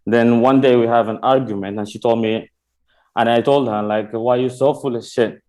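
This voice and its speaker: Male comic from Sudan, non-native English, halting, pause-filled delivery. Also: mid-range voice, fairly even intonation, dark tone. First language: not English. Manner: halting, many "uh" pauses, searching for words. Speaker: stand-up comedian. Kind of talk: stand-up set.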